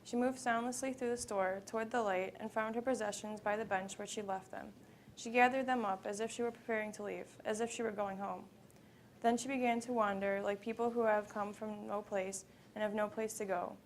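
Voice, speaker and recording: A woman reading prose narrative aloud at a live event, in a measured, dramatic way with phrases that trail off. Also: medium pitch, fairly even intonation. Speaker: student performer in readers theater. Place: live college library event. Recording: live event recording with background noise.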